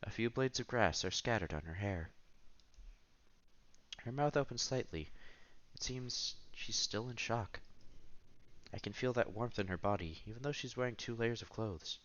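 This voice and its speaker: A man's voice: lowish voice, normal speed, pauses often, fairly bright tone, muffled recording. A man reading visual novel narration aloud as a streamer, expressively, with casual asides and exclamations.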